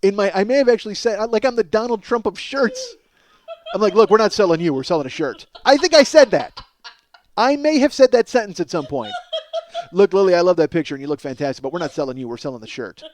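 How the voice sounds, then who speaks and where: Male American comic, high voice, exasperated self-mocking storyteller, loose profane podcast monologue. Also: animated, quick pace, a little hiss or hum. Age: middle-aged. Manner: exasperated, self-mocking, addresses listeners as folks. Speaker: comedian and podcast host. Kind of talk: ranting comedic monologue, casual and profane.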